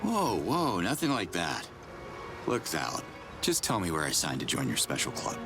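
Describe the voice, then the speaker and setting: An actor playing a brash, wisecracking hero in a video game, talking in a casual, sarcastic and cocky way. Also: male voice, sits low, huge pitch swings, normal speed, over music, full character voice. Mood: wry. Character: wisecracking human man, brash heroic outsider. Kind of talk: video game cutscene acting.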